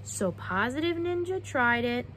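A woman's voice: high voice, big pitch swings, measured pace, fairly bright tone, a little hiss or hum. A young adult woman reading a children's book aloud expressively, often putting questions to her young listeners. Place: outdoors on a wooden backyard deck.

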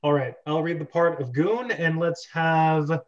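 Man hosting online script club, casual, higher voice, dull lofi sound. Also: a little slow. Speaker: host of a script reading club. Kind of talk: casual moderating of a group table read. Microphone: video call on computer. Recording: dull lo-fi video call audio.

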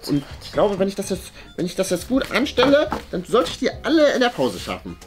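A man's voice: sits high, wildly swooping pitch, fairly quick. Guy doing smug homework nerd schoolboy, pedantic, very up and down, music under it. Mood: smug, eager. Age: young adult. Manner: pedantic, self-satisfied. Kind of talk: comedy sketch acting. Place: indoor room, music behind.

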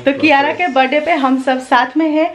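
A woman's voice: sits high, steady tone, brisk. A woman in her thirties with an Indian accent chats casually to camera as a vlogger, switching between Hindi and English. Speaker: pet vlogger. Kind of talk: casual vlog chat to camera. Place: indoors, home bedroom and cafe. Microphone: camera at arm's length.

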